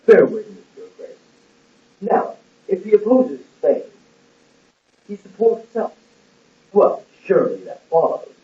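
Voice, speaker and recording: A man playing a smooth, cold, scheming royal secretary in an amateur puppet-show play, speaking in a measured, formal way. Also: high voice, animated. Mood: insistent, measured. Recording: roomy, muffled, distant lo-fi recording.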